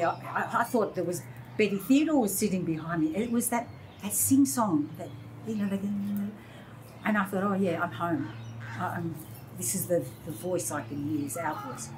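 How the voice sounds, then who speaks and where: An Australian woman in her sixties tells stories with lively, mimicking energy. Her voice sits a little low. A soft hiss runs underneath the recording. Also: moderate pace, low-quality recording. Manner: animated storyteller, acts out quoted voices. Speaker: interview guest. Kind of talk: casual reminiscing conversation. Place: indoor room by large windows.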